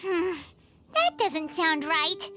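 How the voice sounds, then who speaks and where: Tiny cheeky pixie, extremely high squeaky voice, swooping intonation, video game, loud music. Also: female voice, sing-song, very slow, full character voice. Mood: startled, indignant. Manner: squeaky, talks of herself in third person. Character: tiny childlike female pixie companion, cheeky and nosy.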